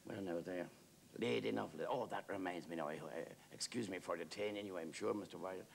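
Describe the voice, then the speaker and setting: A man's voice: low-pitched, a little fast, creaky, a little hiss or hum. A man playing a naive, talkative working-class warder who rambles deferentially in a theatrical monologue. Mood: scandalised, eager. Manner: rambling, hesitant, repetitive, with deferential address.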